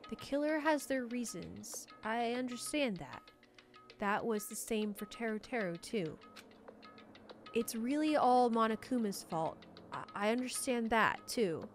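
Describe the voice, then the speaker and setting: A woman hosting a let's play, chatting casually and reading game dialogue aloud in an expressive, conversational way. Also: on the high side, a little slow. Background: game music.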